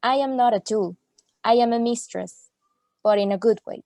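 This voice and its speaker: A woman's voice: fairly high pitch, normal speed, mellow, dry recording, studio quality, lightly acted. A woman playing an exasperated young student in a radio play, declaiming in mock Shakespearean English. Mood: defiant. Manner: declamatory, archaic phrasing.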